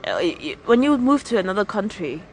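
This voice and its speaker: A woman's voice: medium pitch, big pitch swings, fairly quick, slight background noise. Woman, frank winery owner. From Hong Kong. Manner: frank, with frequent restarts and hesitations. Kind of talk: casual interview chat.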